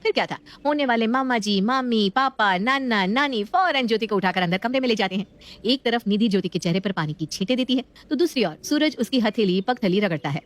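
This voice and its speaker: A woman's voice: on the high side, lively intonation, brisk. A woman storyteller narrating a scripted family tale.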